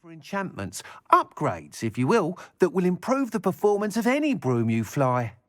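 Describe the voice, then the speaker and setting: A man's voice: on the high side, wildly swooping pitch, moderate pace, no echo, clean studio sound. A man playing a chatty, eager shopkeeper selling magic brooms in a video game. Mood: eager salesman. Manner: salesmanlike, talkative. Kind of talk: video game character dialogue.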